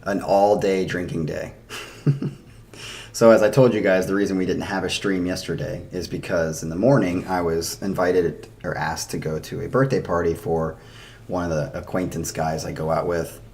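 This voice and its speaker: A man in his thirties, hoarse from a day of drinking, chatting loosely and rambling to his stream audience into a desk microphone. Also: sits low, steady tone, normal speed, darkish tone. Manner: rambling, chatty, laughs often. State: hoarse after a night of drinking. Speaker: streamer reacting to music. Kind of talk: casual chat to a live stream.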